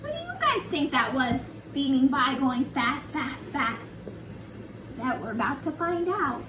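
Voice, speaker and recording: A woman reading a picture book to young children like a preschool teacher, in an animated, sing-song, encouraging way with simple questions to her listeners. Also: high-pitched, unhurried. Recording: echoey room, distant mic, noisy background.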